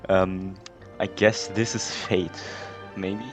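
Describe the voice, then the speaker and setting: Deep-voiced man chatting over a game. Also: flat delivery, slow pace. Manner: offhand, chuckling reactions. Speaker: gameplay streamer. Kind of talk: casual let's-play commentary. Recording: dull lo-fi mic over game audio. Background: game music.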